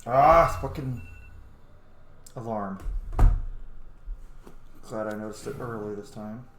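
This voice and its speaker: A man's voice: on the low side, slow pace, fairly bright tone, reverberant, slight background noise. A man giving casual, chatty, enthusiastic gameplay commentary, with some offhand swearing. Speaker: host of a gameplay series.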